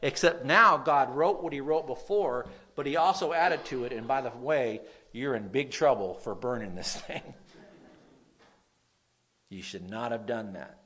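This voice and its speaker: Nasal male pastor preaching, stresses lines loudly, drops quiet, stumbles on words now and then. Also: mid-range voice, measured pace. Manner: reads scripture aloud, swings between loud emphasis and quiet; occasional stutter on words. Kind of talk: sermon.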